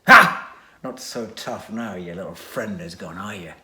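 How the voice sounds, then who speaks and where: A man voicing a big, angry villain who boasts slowly and gloats loudly, in an echoey room. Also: slight background noise. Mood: gloating. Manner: booming, slow, boastful.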